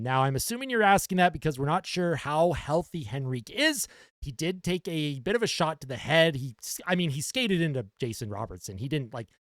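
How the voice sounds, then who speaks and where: A young man hosting a casual post-game hockey fan show, reading out comments with animated enthusiasm while losing his voice. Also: fairly high pitch, dry recording. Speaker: fan reaction show host.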